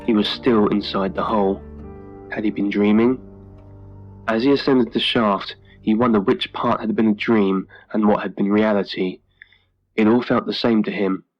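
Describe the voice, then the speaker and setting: A man narrates a scripted horror tale with measured, dramatic delivery. His mid-pitched voice comes through slightly dull, with music playing behind it. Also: fairly even intonation. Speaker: story narrator.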